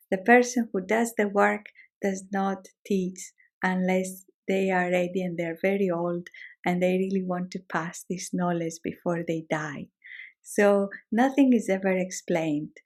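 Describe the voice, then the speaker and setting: A middle-aged woman from Greece speaks with a Greek accent in a calm, measured way. She talks as a teacher sharing her own experience, often repeating words to stress them. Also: medium pitch. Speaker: shamanic teacher. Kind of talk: reflective personal talk.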